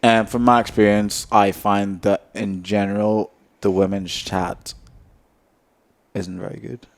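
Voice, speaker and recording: A man with a lowish voice talks in a relaxed, chatty way on a podcast. He jokes with his co-hosts and lets his sentences drift off quietly. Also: unhurried, gravelly. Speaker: podcast host. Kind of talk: casual chat.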